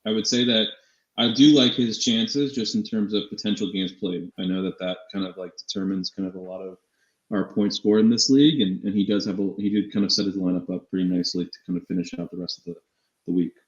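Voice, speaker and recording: A man's voice: on the low side, fast. A man co-hosting a fantasy sports show in casual, informal chat, often repeating phrases for emphasis. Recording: slightly dull, echoey room sound.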